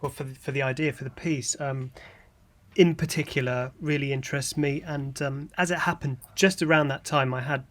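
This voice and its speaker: A man in a casual interview. His voice sits at a middling pitch, and he talks in a hesitant, modest way, as a composer discussing his work. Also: steady tone, moderate pace, a bit bright. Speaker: composer interviewed about an audio drama.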